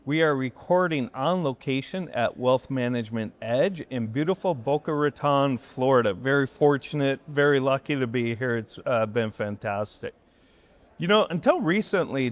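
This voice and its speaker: A man with a mid-range voice hosts a podcast recorded on location, upbeat and friendly in tone. He fills the guest's pauses with short agreeing "yeah"s and keeps the talk moving. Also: mid-range voice, animated. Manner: upbeat, friendly, frequent "yeah" and "mm-hmm" backchannels. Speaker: podcast host. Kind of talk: podcast interview hosting. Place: live event recording on location.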